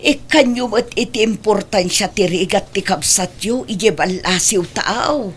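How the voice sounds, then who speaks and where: Husky, worried Filipino mother. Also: medium pitch, unhurried. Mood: worried, pleading. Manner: pleading, lamenting. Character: worried, sorrowful, self-blaming middle-aged mother. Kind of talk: Filipino radio drama acting.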